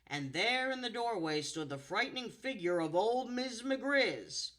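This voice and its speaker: Contralto woman voice actor, storybook reader, animated and bright. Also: a little slow. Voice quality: low contralto voice. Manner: expressive, playful. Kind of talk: storybook narration and chat.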